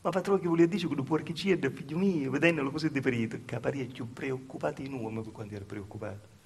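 A man's voice: mid-range voice, fast talker, gravelly, a little mellow, lightly acted. Man playing a Calabrian peasant mother, noisy recording. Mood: dazed, anguished. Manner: rambling, repetitive storytelling with insistent asides. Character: grieving, devout Calabrian peasant mother, simple and confiding. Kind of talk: theatrical dialect monologue for radio.

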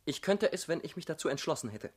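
An actor in a radio play, playing an insecure young political militant who speaks tersely, with stubborn earnestness. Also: male voice, on the high side, sing-song, quick pace, breathy edge. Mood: defiant. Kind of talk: German radio play acting.

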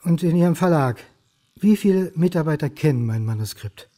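A man's voice: on the high side, expressive, brisk, mellow, natural voice. An actor playing a brooding, indignant historian in a radio crime drama, terse and insistent as he presses for answers.

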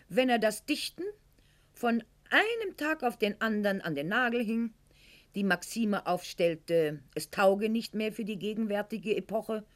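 An actress playing a proud, wounded, sharp-tongued aristocratic lady of the Weimar court in a radio play monologue, articulate and declamatory, with dramatic pauses. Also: mid-range voice, close to an everyday voice. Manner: haughty, articulate, declamatory, with dramatic pauses and hushed line endings.

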